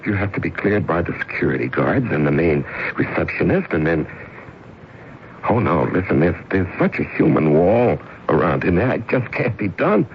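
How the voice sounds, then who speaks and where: A man's voice: deep voice, sing-song, moderate pace. An actor playing an anxious, bewildered middle-aged office man, hesitant and deferential, in a 1970s radio drama. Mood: agitated, bewildered. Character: anxious, bewildered fired company man, meek and pleading. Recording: muffled, noisy old radio broadcast.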